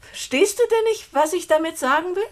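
A high-voiced young woman in a German radio play. She is anxious and dramatic, pressing her words with urgent, pleading insistence. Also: lively intonation, a little fast. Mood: pleading, insistent.

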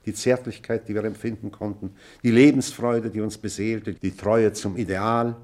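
An actor playing a solemn, lofty man who addresses old schoolmates in deliberate, rhetorical radio-play speech. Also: on the low side, fairly even intonation, a little mellow, close to an everyday voice. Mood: solemn, uplifting. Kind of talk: theatrical radio play oratory.

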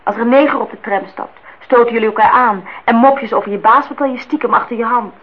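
A woman's voice: on the high side, fast, lightly acted. A woman acting in a radio play as a defiant, idealistic young student, earnest and outspoken. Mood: defiant, scornful. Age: young adult. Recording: dull, noisy old radio recording.

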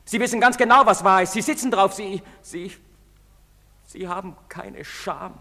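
An actor playing a bitter, accusing young apprentice of twenty in a radio drama. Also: male voice, sits high, normal speed, vocal fry, pushed and bright, full character voice. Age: 20s. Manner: bitter, accusing outbursts, trembling. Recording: long hall reverb.

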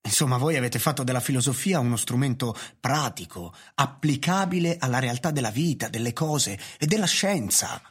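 An actor playing an anxious, homebound doctor in a radio drama, with a hesitant, stammering delivery. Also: male voice, mid-range voice, expressive, moderate pace, hardly any pauses, slightly rough. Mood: halting, friendly. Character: middle-aged doctor, anxious, homebound gentleman of future. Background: music.

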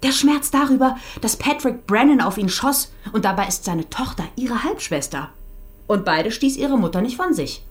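A woman's voice: on the high side, lively intonation, normal speed, lightly acted. A woman playing a police investigator in a German radio crime drama, questioning in a probing, insistent, accusatory manner. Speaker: police interrogator.

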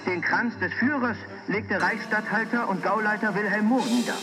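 A man's voice: sits high, moderate pace. A man reading a scripted historical report with formal, declamatory diction. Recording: music playing clearly underneath.

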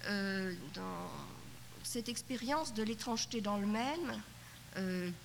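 Middle-aged woman, halting, at a conference. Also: mid-range voice, creaky. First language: Yiddish. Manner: thoughtful, halting, many restarts and fillers. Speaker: panelist at a literature conference roundtable. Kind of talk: spoken reflection at a conference panel. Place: conference hall. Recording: 1990s cassette tape in a hall, faint hiss.

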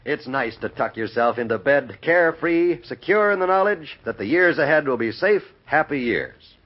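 Animated vintage radio announcer, a man. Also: medium pitch. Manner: polished, measured persuasive delivery. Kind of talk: 1950s radio advertisement reading. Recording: muffled vintage radio recording.

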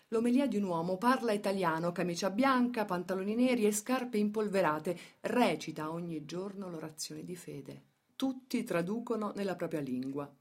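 A woman delivering dramatic scripted documentary narration for radio theatre, measured and emphatic, setting phrases apart with pauses. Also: fairly low pitch.

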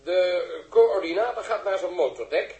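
An actor playing a fussy, bossy quiz host in a 1960s radio drama, formal and officious. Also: male voice, high-pitched, normal speed, mellow. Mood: officious, insistent. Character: fussy, bossy male TV quiz host directing a staged scene. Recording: roomy old radio recording.

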